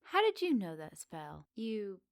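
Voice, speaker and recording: A flirty witch of the woods, played by a woman, speaks straight to the listener in an ASMR roleplay. She is unhurried, teasing and gently doting, with quaint good manners. The sound is dry and intimate. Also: medium pitch, wildly swooping pitch, no echo. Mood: curious, teasing. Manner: teasing, gently doting, slightly old-fashioned politeness.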